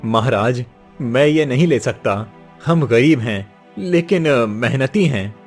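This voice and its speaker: A man's voice: medium pitch, expressive, slow pace, faint music behind. A man voicing a poor, honest farmer in a moral-story cartoon, with a humble, earnest manner.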